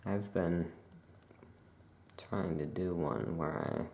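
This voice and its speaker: A soft-spoken ASMR performer role-playing a laid-back witch shopkeeper, musing casually and hesitantly to a customer. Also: deep voice, extremely slow, vocal fry, slight background noise.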